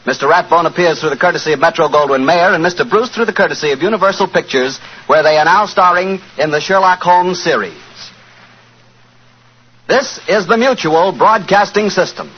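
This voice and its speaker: Animated American 1940s radio announcer, highish male voice. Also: brisk, husky. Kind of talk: 1940s radio announcing and scripted sponsor banter. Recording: dull, noisy 1940s radio broadcast.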